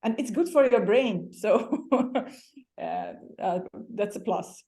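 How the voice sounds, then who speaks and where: A woman's voice: a little high, animated, slow pace, warm tone. A woman from Hungary with a Hungarian accent, a guest chatting casually in an interview, laughing often and restarting her sentences now and then.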